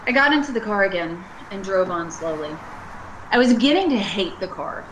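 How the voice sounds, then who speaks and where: A woman's voice: mid-range voice, animated, brisk, a bit bright, slight background noise, natural voice, low-quality recording. A woman playing a haunted, anxious motorist in an amateur radio play, narrating her journey in an earnest, dramatic way. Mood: uneasy, brooding. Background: prominent music.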